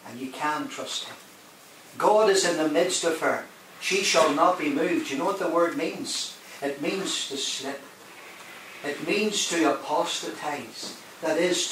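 Echoey preacher in a hall. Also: male voice, a little high, fairly bright tone. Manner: emphatic, rising and dropping for effect, questions the congregation. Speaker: guest preacher. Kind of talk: sermon. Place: large hall. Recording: very echoey hall, mic at a distance, faint hiss.

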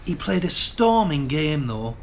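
An actor playing a quiet, awkward, agreeable young man on a date in a radio play. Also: fairly high pitch, sing-song, a little slow, a little hiss or hum. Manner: quiet, tentative.

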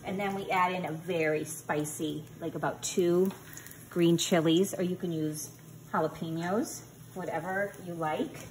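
A woman's voice: lowish voice, fairly even intonation, measured pace, faint music behind. A middle-aged woman casually demonstrating a recipe in her home kitchen, chatty and joking at her own expense. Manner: chatty, joking, self-teasing. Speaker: home cook vlogger. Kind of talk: casual cooking demo. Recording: noisy kitchen with sizzling pan.

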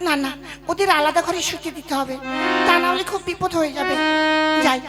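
A stubborn, scolding older wife from a puppet comedy, voiced by a woman, nags in an extremely high, declamatory voice. Loud accordion music plays behind her. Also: brisk. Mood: fussing, worried. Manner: nagging, declamatory. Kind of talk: comic Bengali puppet theatre acting.

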